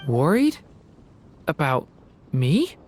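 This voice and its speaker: A woman voicing a gentle young boy in a video game, soft and youthful, speaking sincerely and hesitantly. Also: low voice, big pitch swings, drawn-out words, a little hiss or hum, full character voice. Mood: apologetic, touched. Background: music.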